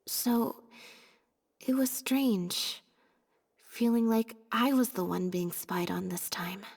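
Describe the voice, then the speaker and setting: Deadpan reserved young woman, medium pitch, understated terse game dialogue, long reverb. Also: slow pace, vocal fry, breathy edge, full character voice. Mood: subdued. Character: reserved, deadpan young female stage assistant. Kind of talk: video game voice acting.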